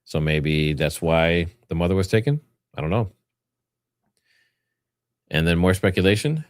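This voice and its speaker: A man's voice: deep voice, unhurried, clear, resonant tone, no echo, clean studio sound. A man hosting a livestream, giving informal, rambling commentary and partly reading from notes. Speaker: livestream host.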